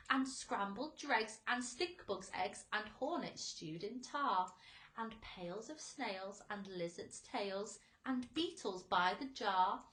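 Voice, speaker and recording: A woman's voice: medium pitch, fairly bright tone, reverberant, poor audio. A woman voicing a boastful, exuberant storybook centipede who declaims in rhythm. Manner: declamatory, rhythmic verse delivery. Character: boastful, exuberant, greedy centipede reciting a food song.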